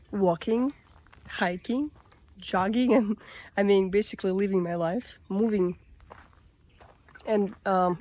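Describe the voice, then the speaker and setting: Hoarse woman, slow reflective trail vlog. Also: mid-range voice, darkish tone. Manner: reflective, musing, loose with fillers. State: hoarse, recovering voice. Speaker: vlogger. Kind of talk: casual walk-and-talk vlog. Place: outdoors on a forest hiking trail.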